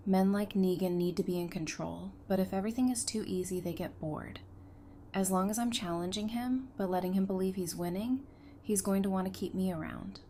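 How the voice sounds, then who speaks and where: A woman acting a defiant, sharp-tongued but protective survivor in an audio drama, blunt and intense in her delivery. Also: medium pitch, lightly acted. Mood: resolute. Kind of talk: full-cast fan audio drama acting. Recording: clear background noise.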